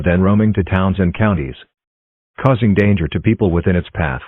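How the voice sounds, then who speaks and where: A man's synthetic-sounding voice reading a formal emergency broadcast announcement in a flat, impersonal way. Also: sits low, dry recording. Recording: muffled narrow-band phone-like audio, dry.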